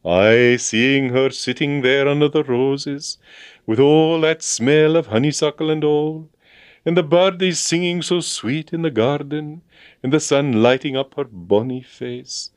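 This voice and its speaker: A man's voice: a little high, a little slow, no room sound, voice pushed up, natural voice. A hard-boiled Scottish literary agent gone tearful and sentimental, as a man puts him on. His voice sits well above the reader's own and quavers with emotion. The recording is close and dry. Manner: gruff turning tearful and quavering.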